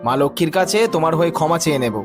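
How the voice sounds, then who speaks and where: A man's voice: fairly high pitch, very fast, lightly acted. A man voicing a cunning, boastful barber's son who poses as a priest in a cartoon moral story, theatrical and self-important. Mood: scheming, pompous. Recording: music bed behind the voice.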